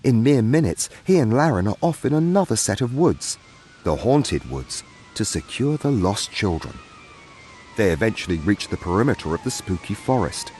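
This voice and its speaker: A man's voice: on the low side, very animated, moderate pace, darkish tone, soft background music. A man narrating a scripted fantasy story as its storyteller.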